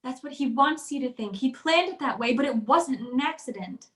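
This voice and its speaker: A woman acting a high-voiced anxious wife, roomy sound. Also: expressive, normal speed. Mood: frightened, insistent. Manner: pleading, earnest. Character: anxious wealthy wife convinced her husband wants her dead. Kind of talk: old-time radio drama acting.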